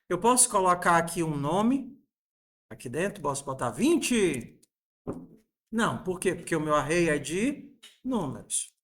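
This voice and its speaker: A man in his forties with a fairly high voice walks students through code. He explains patiently, asks them questions along the way and sprinkles in friendly diminutives. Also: a bit bright. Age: 40s. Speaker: programming teacher. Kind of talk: coding lesson screencast.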